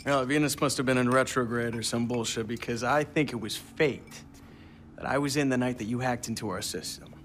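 A man's voice: mid-range voice, fairly even intonation, brisk, fairly bright tone, a little hiss or hum, lightly acted. A man playing a smug, menacing tech executive in a video game, talking in a condescending, faux-friendly drawl with casual swearing. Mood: smug, toying. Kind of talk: naturalistic game cutscene acting.

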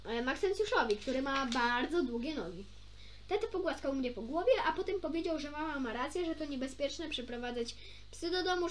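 A woman's voice: sits high, a little hiss or hum. A young woman reading a children's story aloud expressively, informally, with small stumbles and chatty asides. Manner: informal, stumbles over words and self-corrects. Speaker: amateur storybook reader.

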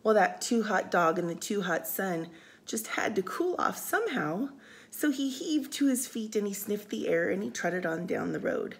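A woman reading a picture book aloud for storytime, expressive and sing-song, with casual asides. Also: mid-range voice, normal speed. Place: indoors, room by a window.

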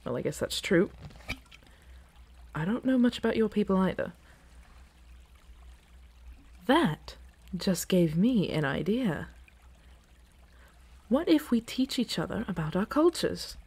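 A woman playing an earnest, playful dragon in human form in a fantasy ASMR roleplay, with a casual, teasing, halting delivery. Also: mid-range voice, big pitch swings, a little mellow, breathy edge, heavily acted. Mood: playful, curious.

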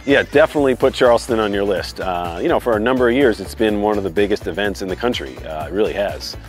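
A sailor man answering interview questions after racing, halting with many fillers. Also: lowish voice. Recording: music bed behind.

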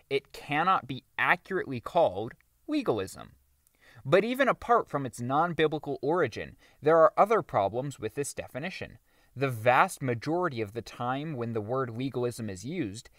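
A man narrates a religious book in a lively, varied delivery at a middling pitch. His phrasing is deliberate and emphatic, stressing key words. The sound is dry, with very little room in it. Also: no room sound. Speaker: audiobook narrator.

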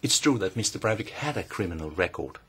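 A Norwegian man with a Norwegian accent, giving a measured, halting formal answer as a parliamentarian at a hearing. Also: low-pitched, expressive, slight background noise. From Norway.

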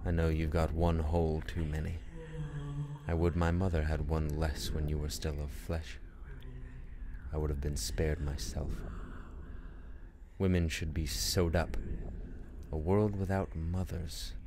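Radio drama: male actor as tormented, sardonic prince, deep-voiced, bitterly theatrical, clearly noisy recording with ambience. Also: level tone, unhurried, long pauses. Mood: contemptuous, weary. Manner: brooding, dramatic, sardonic, slipping into whispers and outbursts. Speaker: performer in a student radio play. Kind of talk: theatrical radio-play monologue.

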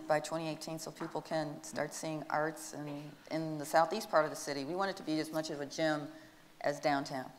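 Enthusiastic woman with a low voice. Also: in a large hall, slight background noise. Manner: enthusiastic, promotional. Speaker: panelist from an airport arts program. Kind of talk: panel discussion. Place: stage before an audience in a large hall.

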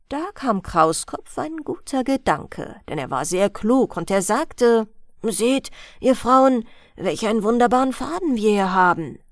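A woman reading a fairy tale aloud as a bedtime audiobook, in a gentle storytelling manner. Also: a little high, no room sound, studio quality. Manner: slow, gentle storytelling with lively, sing-song melody. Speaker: audiobook reader.

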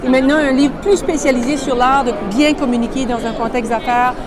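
A high-voiced woman with a Quebec French accent hosts an impromptu interview in a busy exhibition hall. She talks in an informal, effusive way, and a loud crowd surrounds her as she speaks into a phone. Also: steady tone, a little fast. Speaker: interviewer, book club host. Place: large indoor exhibition hall at a book fair. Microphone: phone at arm's length.

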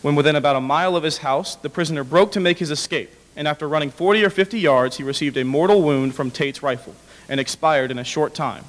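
A young man reads historical papers aloud in a formal register. His voice sits a little high for a man, and the big room leaves a long reverb around every phrase from the podium microphone. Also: quick pace. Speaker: dramatic reader. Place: large indoor hall with brick walls.

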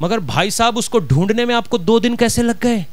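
A man's voice: very high-pitched, lively intonation, normal speed, no room sound. A middle-aged man with a Punjabi accent, a pastor preaching a sermon from a church stage with a handheld mic, driving his points home with rhetorical questions. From Punjab, India.

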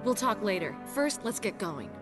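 An actress playing a determined, loyal young special police officer in a cinematic video game. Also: female voice, mid-range voice, sing-song, moderate pace, music in the background, lightly acted.

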